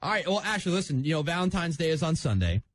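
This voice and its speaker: A man's voice: a little high, sing-song, quick pace, slight background noise. A man hosting a radio show, chatting on air with a caller in a conversational presenter style. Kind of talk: on-air prank call banter. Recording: radio broadcast audio.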